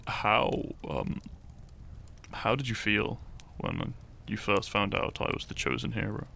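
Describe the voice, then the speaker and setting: A man playing a shy young farmer turned hero in an intimate romantic audio roleplay, tender and teasing, speaking softly and haltingly. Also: on the low side, big pitch swings, gravelly, slight background noise, exaggerated voice. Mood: wistful, earnest. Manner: soft, hesitant, halting, sighing and chuckling.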